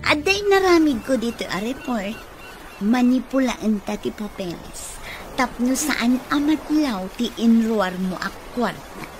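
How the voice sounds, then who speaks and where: A woman's voice: fairly high pitch, expressive, a little slow, background noise. An actress playing a greedy, scheming woman in an Ilocano-accented radio drama. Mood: scheming, smug. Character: scheming, greedy woman plotting to forge papers for money. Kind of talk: Filipino radio comedy-drama villain acting.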